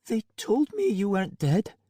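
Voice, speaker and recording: A woman's voice: on the high side, expressive, unhurried, mellow, no room sound, clean studio sound, pitched above the performer's own voice. A man voicing a heartbroken aging woman. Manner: numb, trembling pleading. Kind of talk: audiobook character voice.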